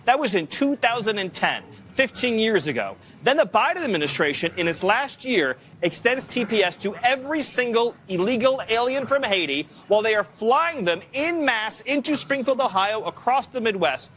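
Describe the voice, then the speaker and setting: A man delivers political commentary in an indignant, forceful tone. His voice sits high and swings widely in pitch. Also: very animated, moderate pace, hardly any pauses.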